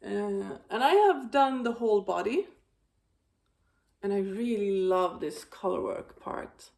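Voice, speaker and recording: A woman hosting a knitting video, chatting casually about her projects, with a slightly raspy voice and a rambling, filler-heavy way of talking. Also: mid-range voice, slow, a little mellow. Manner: rambling, many fillers and restarts. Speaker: knitting podcast host.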